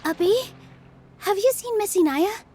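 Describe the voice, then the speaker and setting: An actress voicing a kind young female stage dancer in a game cutscene, speaking in a gentle, reassuring way. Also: extremely high pitch, animated, unhurried. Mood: calm, caring. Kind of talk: video game cutscene dialogue. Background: game soundtrack music.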